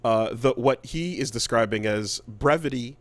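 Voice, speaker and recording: A man co-hosting a casual podcast about video games, speaking haltingly and repeating words mid-thought. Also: mid-range voice. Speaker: podcast co-host.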